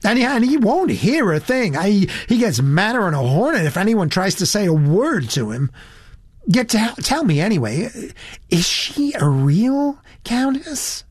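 A man voicing a blunt, earnest young woman with a high, shrill voice in an audiobook story. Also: big pitch swings, measured pace, breathy edge, no echo, clean studio sound, heavily acted. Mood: indignant, worried. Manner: blunt, indignant. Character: earnest, disapproving, very young American tourist woman.

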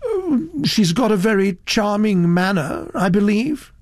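A man voicing a cautious elderly gentleman who stammers and apologises in an audiobook story. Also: a little high, lively intonation, measured pace, no room sound, studio quality, voice pushed up. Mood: cautious, flustered. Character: fussy, cautious, hesitant elderly English gentleman.

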